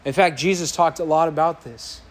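A pastor preaching an earnest, emphatic sermon to his congregation in a church sanctuary, with a loud voice that builds to impassioned peaks. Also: on the high side, steady tone, fairly quick.

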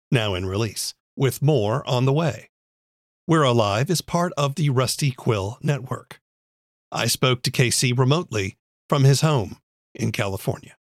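A man's voice: medium pitch, no echo, clean studio sound. A man hosting a podcast, reading scripted introductions and sponsor messages. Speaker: podcast host. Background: music.

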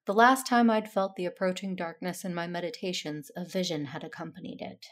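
A woman playing a dutiful, self-stern Jedi in a sci-fi audio drama, narrating in a measured, earnest way. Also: fairly low pitch, steady tone, close to an everyday voice. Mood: grave, introspective.

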